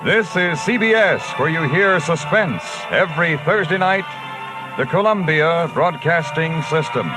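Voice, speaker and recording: A man's voice: on the high side, animated, unhurried, low-quality recording. A man making network announcements in formal 1950s radio style. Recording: vintage radio recording over loud music.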